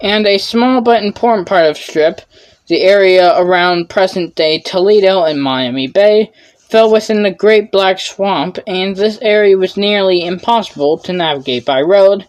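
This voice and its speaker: A woman's voice: fairly low pitch, moderate pace, a little mellow, poor audio. A young woman presenting a history lesson from a script, stumbling and restarting now and then as her voice wears out. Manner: reads carefully with frequent stumbles and restarts. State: losing her voice.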